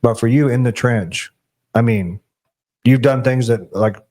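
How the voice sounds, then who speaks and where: A middle-aged man hosting a podcast, with a voice of middling pitch. He talks in an animated, preachy way and often restarts his sentences or lets them trail off. The tone is casual. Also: a little slow. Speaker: podcast host. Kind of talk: casual conversation.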